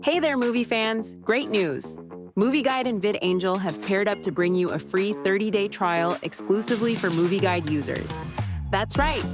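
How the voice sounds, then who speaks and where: A woman announcer giving a scripted, upbeat promotional read. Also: mid-range voice. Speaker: advert announcer. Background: music.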